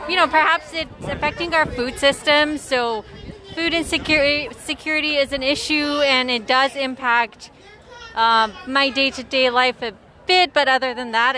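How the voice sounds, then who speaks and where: A woman's voice: high voice, pushed and bright. A woman being interviewed outdoors at a protest, speaking thoughtfully and hesitantly with many fillers. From immigrant recently moved to Canada. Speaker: interviewee at a climate strike. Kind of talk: street interview. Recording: outdoor crowd noise.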